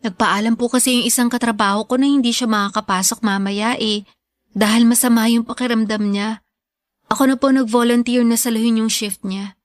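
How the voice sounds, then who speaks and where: A high, youthful voice for a devoted student daughter , played by a woman. She is respectful and earnest, reassuring her mother in Filipino radio drama style. The sound is dry and close. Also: steady tone, brisk, no echo, lightly acted.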